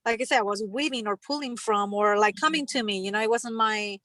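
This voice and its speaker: A woman's voice: mid-range voice, a little fast, pushed and bright, no echo. A woman who grew up in the north of Spain, chatting loosely about her past and repeating words as she talks. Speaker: client. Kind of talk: casual video call chat.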